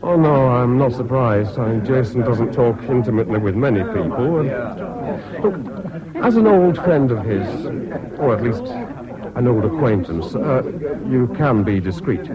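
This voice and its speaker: A male actor as a credulous young English university student in a vintage radio play. He confides earnestly, gushing and hesitating, with a wide, animated swing to the voice. The broadcast is dull, with crowd chatter behind. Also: mid-range voice, measured pace, natural voice. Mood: hushed, confiding. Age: young adult. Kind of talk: 1970s British radio drama acting.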